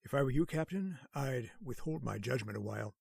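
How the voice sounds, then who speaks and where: A man acting a calm, learned hospital doctor in a radio horror drama, speaking in a measured, authoritative way. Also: mid-range voice, gravelly, husky, no echo, clean studio sound, heavily acted. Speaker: voice actor.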